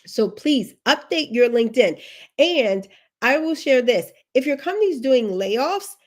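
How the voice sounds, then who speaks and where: A woman's voice: high voice, animated, a little slow. A woman career coach on a livestream, sniffly from allergies, giving advice in an emphatic way and repeating her key points. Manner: emphatic, repeats key instructions, chatty asides.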